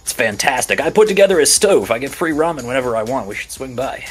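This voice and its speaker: A man's voice: medium pitch, big pitch swings, fast, breathy edge. A man playing an easygoing, scrappy junk scavenger in a tabletop game, talking in a casual, folksy way. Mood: easygoing, chatty. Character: easygoing scrappy junk-scavenging male local with robot dog.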